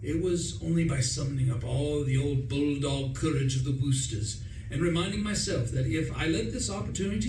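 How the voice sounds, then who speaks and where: A man playing a foppish, self-important but amiable young English gentleman, narrating a radio comedy in a chatty, pompous way with old-fashioned slang. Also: medium pitch, natural voice. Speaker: radio play performer. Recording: echoey room, distant mic, noisy.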